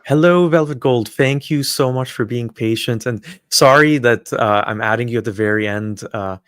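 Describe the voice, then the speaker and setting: A man's voice: medium pitch, moderate pace, fairly bright tone, clear, resonant tone, no echo. A man from Palestine hosting a live call-in stream, chatting casually with callers, friendly and hesitant with many fillers.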